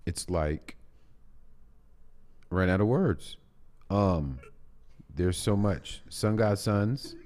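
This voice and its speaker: A man's voice: deep voice, very slow, long pauses, darkish tone. A man co-hosting a casual music livestream from a studio, laid-back and repeating himself while he scans the chat. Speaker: co-host. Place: studio with red curtains. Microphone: studio mic on a boom arm.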